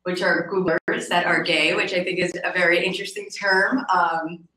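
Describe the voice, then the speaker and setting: A woman's voice: lowish voice, steady tone, normal speed, sharp tone, far from the mic. A woman hosting a casual podcast interview, speaking in an informal, chatty way and often checking in with "right?". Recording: roomy echo, off the mic.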